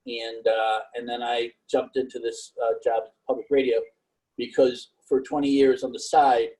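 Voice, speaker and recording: Halting, flat-voiced middle-aged man. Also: medium pitch, breathy edge, low-quality recording. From New York. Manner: monotone, halting with many fillers. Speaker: panelist. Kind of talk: casual career talk on a video call.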